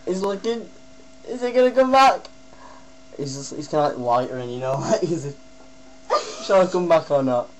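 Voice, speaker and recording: High-voiced young British man, lively commentary. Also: moderate pace, pauses often. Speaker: prankster. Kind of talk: casual running commentary on a hidden prank. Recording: noisy amateur recording with mains hum.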